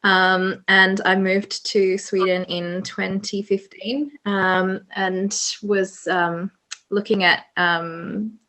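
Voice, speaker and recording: An Australian woman introduces herself to a panel audience. Her voice is bright and forward, and she leans on "um" between phrases. Her pitch is mid-range. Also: flat delivery, slow. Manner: many "um" fillers. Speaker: panelist, journalist. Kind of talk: casual self-introduction.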